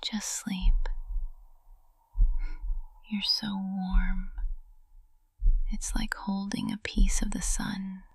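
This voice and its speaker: A woman's voice: mid-range voice, monotone, breathy edge, heavily acted. A woman voicing a tender, possessive witch teacher in an intimate ASMR roleplay, whispering breathily and adoringly, close to the microphone. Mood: adoring, lulling. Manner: slow, hushed, intimate, adoring.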